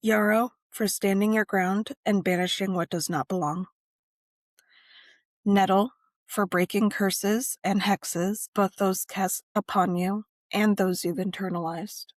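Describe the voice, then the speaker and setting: A woman narrating a scripted guided meditation and ritual in measured, deliberate phrases with frequent pauses. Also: mid-range voice, fairly even intonation, no room sound.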